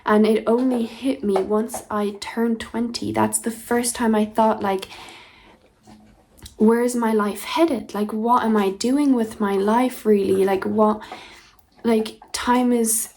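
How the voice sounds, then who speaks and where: A woman's voice: fairly high pitch, steady tone, takes it slowly, vocal fry, darkish tone, slight background noise. A woman of twenty talking through her feelings in a casual diary video, reflective and rambling, with many fillers and restarts. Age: 20s. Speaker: diary vlogger. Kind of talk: casual personal diary talk.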